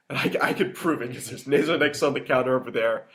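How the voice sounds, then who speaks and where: A man's voice: mid-range voice, a little fast, a bit bright. A young man co-hosting a podcast, chatting casually and rambling, often restarting sentences and laughing. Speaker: podcast co-host. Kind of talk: casual chat.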